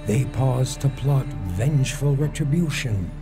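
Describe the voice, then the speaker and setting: An actor narrating an ancient Greek legend as a solemn, portentous storyteller in a dramatic game cutscene. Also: male voice, medium pitch, expressive, a little slow. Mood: grave, portentous. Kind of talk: dramatic scripted narration of an epic. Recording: music under the voice.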